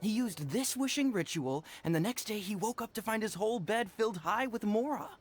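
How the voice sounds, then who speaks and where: A man's voice: sits high, expressive, fairly quick, faint music behind. A man playing a gossipy, enthusiastic promoter in a video game, persuasive and salesman-like as a storyteller. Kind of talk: animated game dialogue.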